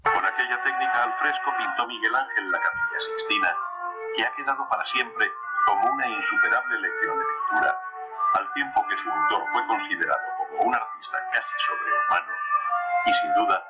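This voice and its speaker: A man's voice: mid-range voice, huge pitch swings, brisk, low-quality recording. A man giving formal, declamatory scripted documentary narration. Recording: archive documentary clip with music behind.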